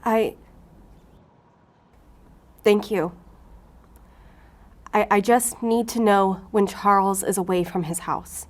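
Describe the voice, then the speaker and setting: A woman's voice: medium pitch, slow pace, pauses often, close to an everyday voice. An actress playing a driven, anxious female FBI special agent in an audio fiction drama. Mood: contrite, earnest.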